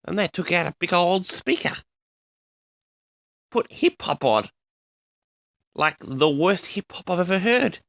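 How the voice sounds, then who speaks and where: A man hosts a storytelling vlog with a forceful, gravelly edge to his voice. His delivery is theatrical and indignant, full of dramatic pauses and wide swings in pitch. He speaks straight to his audience as he tells his tale. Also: lively intonation, no room sound, studio quality. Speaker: vlog host. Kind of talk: storytelling monologue addressed to the audience.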